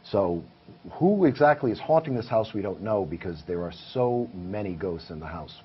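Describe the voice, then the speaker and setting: A man's voice: on the low side, lively intonation, moderate pace, husky. A man who is a paranormal investigator, talking as a guest in a casual interview and telling his findings in earnest detail. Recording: muffled, with faint hiss.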